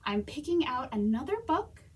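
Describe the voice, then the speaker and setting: A woman reading a children's story aloud as a storytime reader, expressively, with dramatic pauses and whispers. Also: high-pitched, animated, slightly rough. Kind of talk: animated children's read-aloud.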